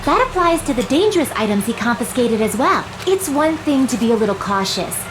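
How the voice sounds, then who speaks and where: A woman voicing an animated teenage girl student in an anime murder mystery game. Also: on the high side, brisk, noisy background. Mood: questioning. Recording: loud game music underneath.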